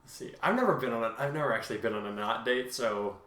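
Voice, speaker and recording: Man, mid-pitched voice, casual podcast host, halting and informal, echoing room. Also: expressive, a bit bright. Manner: halting, informal, thinking aloud. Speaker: podcast host and fan fiction writer. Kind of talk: casual chat.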